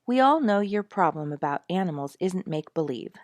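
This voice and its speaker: Woman reading as a gentle, earnest, protective father. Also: lowish voice, expressive, fairly quick, dry recording, close to an everyday voice. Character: quiet-spoken, protective, proud husband and father.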